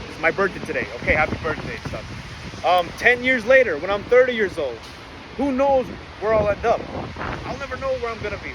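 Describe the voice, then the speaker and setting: A man's voice: high-pitched, background noise. A young man of about nineteen, rambling earnestly to the camera in a casual monologue, with many fillers and restarts, recorded outdoors in the rain. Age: late teens. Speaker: vlogger.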